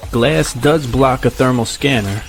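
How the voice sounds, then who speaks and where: An actor playing a wary, matter-of-fact soldier in an audio drama, speaking in a measured way. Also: male voice, medium pitch, gravelly. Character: matter-of-fact, wary male military squad member. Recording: faint music underneath.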